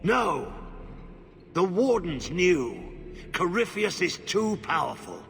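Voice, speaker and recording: An actor playing an unhinged, half-corrupted man in a fantasy game, fearful and pleading, with a halting, muttering, gravelly delivery. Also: high-pitched, very animated, a bit bright, faint music behind, exaggerated voice. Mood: frantic pleading. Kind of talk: dramatic fantasy game acting. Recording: long cavernous reverb, background noise.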